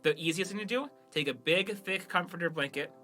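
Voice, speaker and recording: A man's voice: high voice, a little fast, pushed and bright, soft background music. A man hosting a podcast in casual chat, speaking in an emphatic, plain-spoken, informal way. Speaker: podcast host and audio drama producer.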